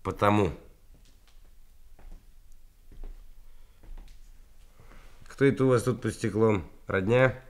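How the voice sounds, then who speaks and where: An actor playing a defiant, sardonic thief in police custody, curt and colloquial, in a radio drama. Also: male voice, on the low side, fairly quick, close to an everyday voice. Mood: guarded, curious.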